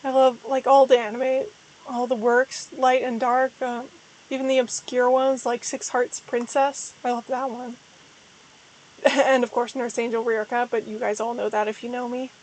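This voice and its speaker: A young woman with a hoarse, tired voice, chatting casually and rambling as a streamer answering viewer questions. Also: on the high side, level tone, normal speed, darkish tone, a little hiss or hum, poor audio. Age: young adult. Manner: rambling, self-deprecating, frequent restarts. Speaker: VTuber streamer. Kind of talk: casual livestream chat.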